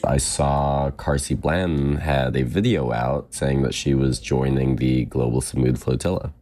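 A man from the British Virgin Islands recounts things casually in an interview. His voice is extremely deep. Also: fairly even intonation, a little slow, warm tone. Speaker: interviewee, sailor.

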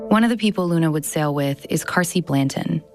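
A woman's voice: sits low, brisk, a little mellow. A woman, around her thirties, narrating a scripted audio documentary as its host. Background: music bed.

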